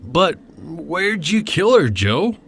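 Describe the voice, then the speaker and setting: A man's voice: fairly high pitch, very animated, slow, vocal fry, a bit bright, slightly rough, exaggerated voice. A man playing a cautious, coaxing police sergeant in an old-time radio crime drama. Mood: wary, placating. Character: wary male police sergeant placating an armed killer.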